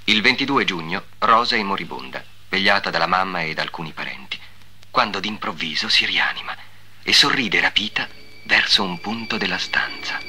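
A man's voice: sits low, animated, normal speed, sharp tone, faint music behind. A man giving a formal, solemn scripted narration in the style of the 1950s.

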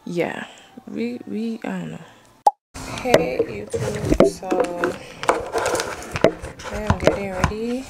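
A woman's voice: mid-range voice, gravelly, heavy background noise. A young woman chatting casually and informally to camera in a slangy vlog style. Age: young adult. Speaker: vlogger.

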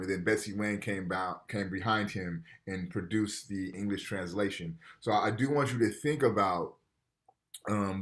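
A man in his thirties giving a casual college lecture over a video call from a room at home, reading passages aloud and explaining them in a conversational way. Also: low-pitched. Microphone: video call webcam.

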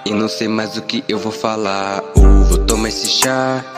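Brazilian male trap vocalist; drowsy melodic delivery, loud beat behind. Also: on the low side, steady tone, moderate pace, loud music behind the voice. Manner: drowsy melodic sing-rap with breathy ad-libs. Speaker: trap artist. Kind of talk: sung and rapped trap track.

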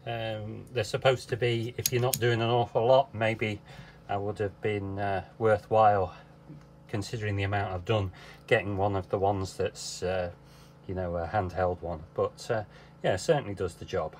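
A man in his fifties filming himself in a small boat cabin on a phone, explaining a wiring job in a relaxed, hesitant way with many fillers. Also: fairly low pitch, normal speed, a little hiss or hum. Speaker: sailing vlogger. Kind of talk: casual how-to vlog. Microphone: handheld phone camera.

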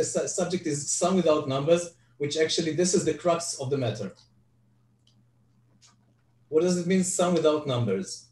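An older Hebrew-speaking man, giving an online spreadsheet lecture from home over a headset, halting with frequent restarts. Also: a little high, dark tone. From Israel. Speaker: guest presenter. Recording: echoey, distant video call audio.

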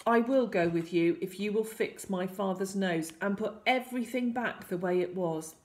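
A woman's voice: mid-range voice, moderate pace, darkish tone, natural voice. A woman voicing a brave, earnest ten-year-old prince in a children's storybook.

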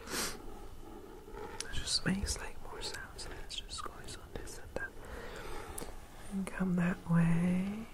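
A woman doing ASMR in a breathy whisper, soothing and repeating short phrases softly. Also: on the low side, slow pace, long pauses. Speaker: ASMR host. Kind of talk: ASMR relaxation.

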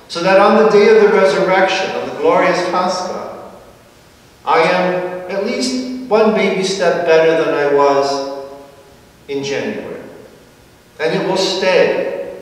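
Priest giving a sermon, middle-aged man, higher voice, long church reverb, distant camera mic. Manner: explanatory, gently wry preaching with slow emphatic phrases. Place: large church sanctuary, at a pulpit. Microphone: far camera. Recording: echoey church from far off.

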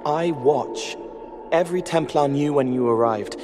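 An actor playing a gentle, eerie young spirit in a video game, halting and dreamy in his earnest wish to help. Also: on the high side, close to an everyday voice. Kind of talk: video game cutscene acting. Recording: music behind.

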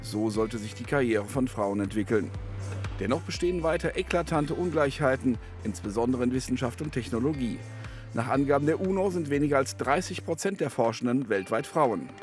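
A man narrates a news feature in a polished voice-over, his pitch moving with a lively lilt. A clear music bed plays under his words. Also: brisk. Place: studio voice-over.

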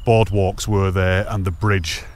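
A man's voice: low voice, little pitch movement, unhurried, a little hiss or hum. A man in his thirties narrating a hike outdoors, chatty and enthusiastic, filming himself at arm's length on a handheld camera. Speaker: hiking vlogger. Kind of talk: casual walking commentary. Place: outdoors on open fells and woodland paths.

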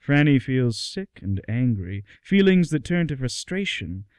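A man's voice: mid-range voice, big pitch swings, a little slow, a little mellow, clean tone, no room sound, clean studio sound. A man narrating a scripted radio play.

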